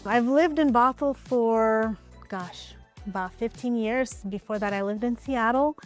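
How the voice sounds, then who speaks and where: A woman from Ukraine, a real estate agent, answering interview questions in a relaxed, conversational way, often hedging with "I would probably say.". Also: fairly high pitch, measured pace, faint music behind. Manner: relaxed, conversational, with frequent hedges.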